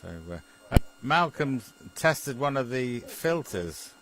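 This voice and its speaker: A man's voice: mid-range voice, big pitch swings, slow, fairly bright tone, slight background noise. A British man, a radio hobbyist, chatting casually about technical matters in a hesitant, chuckling way and often repeating his phrases. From Britain. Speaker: amateur radio enthusiast.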